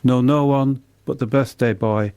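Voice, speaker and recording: An older British man, a poet being interviewed, who speaks haltingly and often repeats the starts of his words. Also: medium pitch, fairly even intonation, warm tone, clean tone. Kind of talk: casual radio interview.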